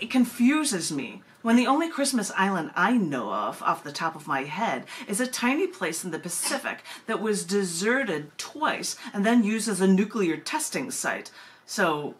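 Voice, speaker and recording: A woman with a slightly low, bright and forceful voice reads poetry as host of an open mic. She speaks deliberately, stretching phrases for emphasis, and slips into casual asides. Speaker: poet hosting an open mic. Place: at home, on a video call.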